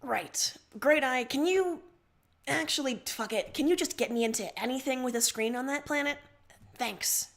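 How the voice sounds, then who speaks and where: A woman voicing a young male alien emperor, blunt and awkward, making halting, earnest speeches in a story read aloud. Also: sits high, vocal fry, a bit bright. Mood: blunt, impatient.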